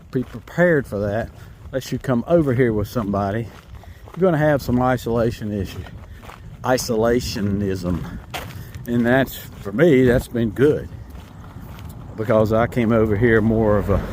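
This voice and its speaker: A man's voice: mid-range voice, darkish tone. A man with a Southern American accent chats outdoors on the trail in a folksy, rambling vlog. His voice sits in a middle register, and faint outdoor noise runs behind him. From North Carolina, USA. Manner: rambling, folksy, self-amused. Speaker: hiker vlogger. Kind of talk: casual walking vlog.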